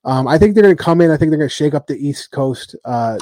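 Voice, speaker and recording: A man's voice: fairly high pitch, lively intonation, fast talker, dark tone, dry recording, studio quality. A man hosting a podcast, rambling through a rugby preview with lots of ums and joking trash talk. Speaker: podcast host. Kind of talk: casual rugby chat.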